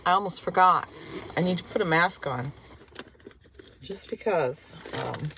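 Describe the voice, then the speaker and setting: A woman in her fifties rambles cheerfully to a dash-mounted phone while driving, laughing between asides. Her voice sits a little low and sounds slightly stuffy from a cold. Steady car and road noise runs clearly underneath. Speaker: vlogger. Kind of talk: casual chatty vlog. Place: inside a parked and moving car. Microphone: phone camera on the dashboard. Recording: car noise audible behind voice.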